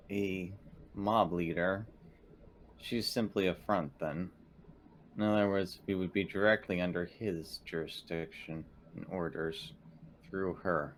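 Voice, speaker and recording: Male RPG player, very low and slow, formal deliberate delivery, faintly noisy mic. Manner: measured, deliberate. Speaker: tabletop roleplaying game player. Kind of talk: in-character speech, formal wording.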